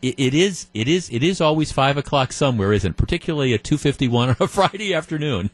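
Plauderhafter Radiomoderator mit Stottern. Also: male voice, medium pitch, animated, fast talker. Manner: stammering restarts, chuckling, chatty. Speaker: radio talk show host. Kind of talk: call-in radio chat. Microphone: studio microphone.

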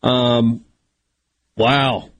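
A man's voice: medium pitch, level tone, extremely slow. A man hosting a sports radio show, chatty and self-deprecating, with a big voice. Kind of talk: casual sports radio talk.

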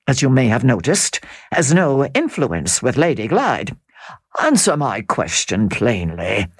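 A reader voicing a suave, scheming middle-aged count who speaks slowly, smoothly and condescendingly. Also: medium pitch, dry recording, studio quality, heavily acted. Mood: commanding.

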